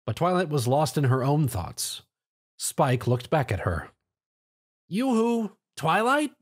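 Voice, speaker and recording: Guy reading a story out loud as narrator, lively, bit of husk. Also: medium pitch, unhurried, husky. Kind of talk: dramatic scripted story narration.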